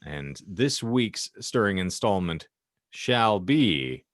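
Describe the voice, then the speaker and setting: A man with a low voice and a close, dry sound hosts a comedic podcast. He talks casually but dresses things up in florid, theatrical phrasing. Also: big pitch swings, slow pace, no echo. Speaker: podcast co-host.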